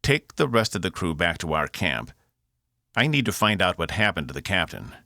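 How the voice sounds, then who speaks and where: A man plays a determined engineer from a space crew in an audiobook. His speech is curt and commanding, with a gravelly, forceful edge and close, dry sound. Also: sits low, very animated, moderate pace, dry recording, exaggerated voice. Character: terse, determined male space-crew engineer under pressure.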